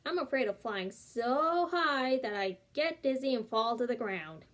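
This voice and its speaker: A woman's voice: a little high, expressive, measured pace, a bit bright. A woman reading aloud, voicing a small, nervous storybook bird with a chirpy manner.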